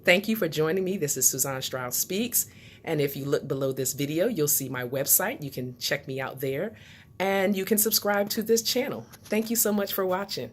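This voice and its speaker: A woman speaking as a motivational host to her viewers, in a deliberate, instructive way that stresses key words. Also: deep voice, a little hiss or hum. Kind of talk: motivational talk to camera.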